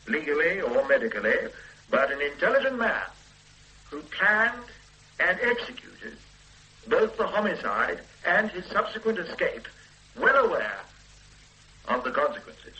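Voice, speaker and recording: An actor playing a measured, dryly authoritative police detective in a radio drama. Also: male voice, high voice, sharp tone, full character voice, rough recording. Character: methodical middle-aged police detective superintendent. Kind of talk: 1970s radio drama acting.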